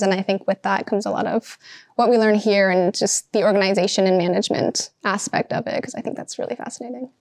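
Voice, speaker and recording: A woman's voice: mid-range voice, no room sound, clean studio sound. A young woman, a fashion student, answering interview questions in a chatty way with many fillers. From Seattle, Washington. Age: young adult. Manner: chatty, lots of um and you know. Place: bright classroom with large windows.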